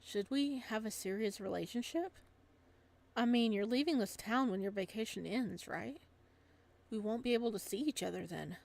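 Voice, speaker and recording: A gentle fisherman from a romance visual novel, as a woman reads him. He is composed and fond, with a calm, reassuring way of talking. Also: fairly high pitch, normal speed, gravelly. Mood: serious. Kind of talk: visual novel dialogue read aloud.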